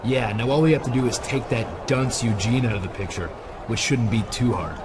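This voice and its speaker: Man as wrestling heel, cocky, mocking, average pitch, clear background noise, video game. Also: brisk, close to an everyday voice. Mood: sneering. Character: cocky, sneering male wrestling villain. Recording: noisy game cutscene audio.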